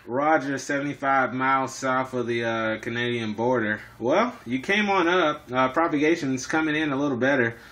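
A man's voice: medium pitch, moderate pace, few pauses, background noise. A man in casual two-way radio chat, speaking in operator jargon and often repeating himself. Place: indoors in an apartment.

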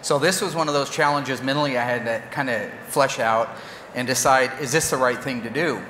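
A man in his fifties talks up his car build in a brash, joking, enthusiastic way. He speaks at an ordinary pitch on a lapel mic. The sound is roomy, with a long convention-hall echo and faint background noise. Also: brisk, bright, forceful tone. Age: 50s. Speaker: car restorer and show guest. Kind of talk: casual stage chat at a press event. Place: large convention hall stage.

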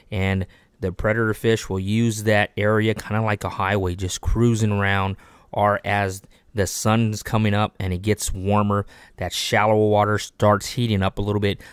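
A man in his thirties from Texas, hosting a fishing channel, giving animated, repetitive tips to camera from a small home studio on a desk microphone. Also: fairly low pitch, clear, resonant tone, no echo. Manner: animated, repetitive coaching with lots of hand gestures. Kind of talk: instructional video talk to camera. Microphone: desk microphone on boom arm.

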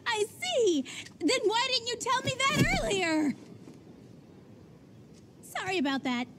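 An actress playing a brash, teasing, hot-blooded young martial artist big sister in a video game, bubbly and exclamatory. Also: female voice, very high-pitched, sing-song, slow, pauses often, heavy background noise, heavily acted. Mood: playful teasing. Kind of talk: video game cutscene acting. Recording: voice over busy game ambience.

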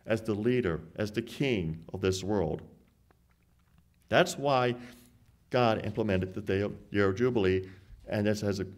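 A middle-aged man giving a short church sermon at a lectern, reading scripture aloud slowly and stressing key words. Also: fairly low pitch, darkish tone. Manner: earnest, emphatic, slows down to read scripture aloud. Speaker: church speaker. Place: church hall, standing at a lectern. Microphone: lectern microphone.

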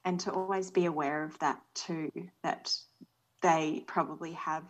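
A woman's voice: deep voice, steady tone, unhurried, poor audio. An Australian woman in her forties speaking earnestly and thoughtfully as a panel guest over a video call from a small room. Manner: earnest, thoughtful, soft-spoken. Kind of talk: reflective panel talk. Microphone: video call webcam.

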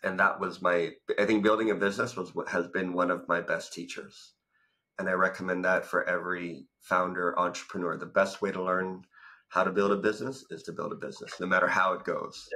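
A man's voice: deep voice, little pitch movement, brisk, pushed and bright. A man in his thirties from Thailand, a guest talking casually about his business path with many "like" fillers, recorded on a video call in a home room. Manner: talkative, storytelling with many "like" fillers. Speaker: guest, business coach and founder. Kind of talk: casual interview. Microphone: studio mic in front, video call.

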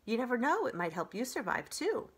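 Woman voicing a theatrical, swooping, high-pitched opossum lady. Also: sing-song, brisk, fairly bright tone, breathy edge, full character voice. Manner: dramatic, gushing. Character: theatrical, excitable female opossum, boastful about acting. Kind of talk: children's storybook character voice.

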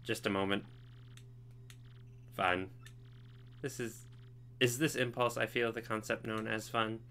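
Man doing a stiff, earnest game computer, monotone delivery, forceful bright tone. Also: on the low side, a little slow, slight background noise. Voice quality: flat monotone. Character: earnest, naive learning computer, male, monotone.

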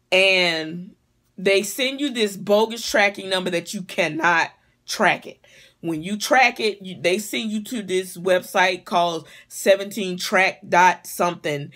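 Middle-aged woman, mid-pitched voice, casual home-vlog reviewer, blunt, exasperated and freely cursing. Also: fairly bright tone. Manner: blunt, sweary, comically exasperated. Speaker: vlogger reviewing a wig. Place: small living room or bedroom at home, indoors. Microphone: phone or webcam at arm's length.

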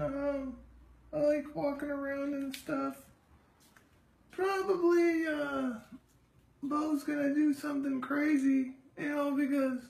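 Someone putting on a high, gravelly, smug female cat voice, slow drawl, phone mic in an echoey kitchen. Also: heavily acted. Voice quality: raspy, gravelly. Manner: slow, drawling, full of herself. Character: vain sassy female house cat. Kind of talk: live-stream pet narration. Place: home kitchen, indoors. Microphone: phone camera held by the speaker.

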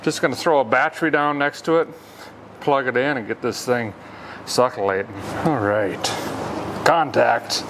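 A man in his thirties talking casually as he works, with a folksy, deadpan, rambling humor, as host of a garage repair vlog. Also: medium pitch, moderate pace. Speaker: host of a car repair show. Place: large auto repair garage with concrete floor. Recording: clear background noise, garage ambience.

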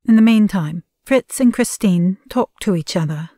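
A woman's voice: medium pitch, animated, normal speed, dark tone, no room sound, clean studio sound, natural voice. A woman reading stage directions as the neutral narrator of an audio play, in scripted narration.

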